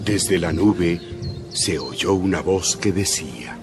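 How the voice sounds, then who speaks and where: A man narrating a dramatized Bible story in a measured, solemn scripted style. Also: deep voice, animated. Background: prominent music.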